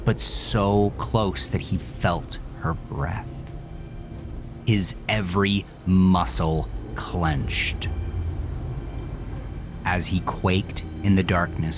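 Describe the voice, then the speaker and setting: A man narrating a scripted horror story with intense, dramatic delivery that sinks into whispers. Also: low-pitched.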